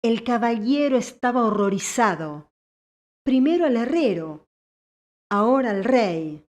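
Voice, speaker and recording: A woman's voice: fairly high pitch, sing-song, unhurried. A woman reading scripted audiobook narration as the storyteller.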